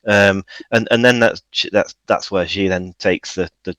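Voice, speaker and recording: A man with a very low voice talks about producing tracks in a casual radio interview. He is modest and self-deprecating, and he rambles through fillers and restarts. The recording is dry and close. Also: steady tone, a little slow, a bit bright, no echo, muffled recording. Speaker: music producer guest.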